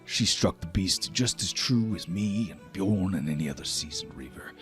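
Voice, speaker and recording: A man's voice: lively intonation, moderate pace, faint music behind. A man voicing a proud, fierce giant warrior chieftain in a declamatory, theatrical tabletop game style. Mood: proud, boastful. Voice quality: low growl when angered. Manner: declamatory, theatrical, old-fashioned oaths.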